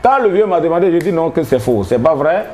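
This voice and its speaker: A man's voice: fairly high pitch, animated, fast talker, darkish tone, a little hiss or hum. A man in his thirties with an Ivorian French accent, a traditional healer telling his story in a rambling, hesitant way. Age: 30s. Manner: rambling, hesitant, laughing often. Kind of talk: casual interview.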